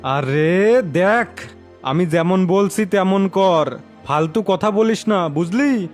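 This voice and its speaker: Cartoon villain man acting, bossy and menacing, high-pitched, drawn-out commands over clear background music. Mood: sly, commanding. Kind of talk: cartoon fairy-tale voice acting.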